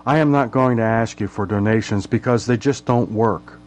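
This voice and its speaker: A man's voice: on the low side, normal speed, a little mellow, faint music behind. An older man speaking as a podcast host, making a fundraising appeal straight to his listeners.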